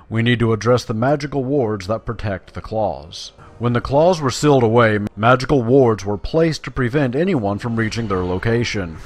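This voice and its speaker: A man's voice: mid-range voice, a little mellow, dry recording. Chatty, playful man commenting on a game. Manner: chatty, playful, slangy, musing to himself. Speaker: video game player and commentator. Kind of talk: casual gameplay commentary with quest text read aloud.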